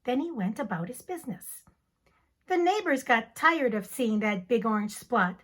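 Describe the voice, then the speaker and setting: Teacher, woman, high and very animated, slow dramatic storybook reading. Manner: expressive, theatrical storytelling. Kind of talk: dramatic picture-book reading.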